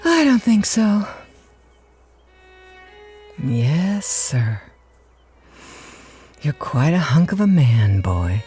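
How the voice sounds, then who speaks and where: A woman's voice: sits low, big pitch swings, extremely slow, long pauses, mellow, soft background music, full character voice. An actress playing a flirtatious, scheming married woman in a radio drama, coy and coaxing in manner.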